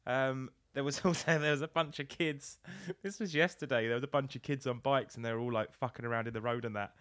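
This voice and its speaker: A British man co-hosting a podcast, bantering casually with swearing and a giggly, excitable way of talking. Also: mid-range voice, fast talker, dry recording, clean studio sound. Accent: British English. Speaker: podcast co-host.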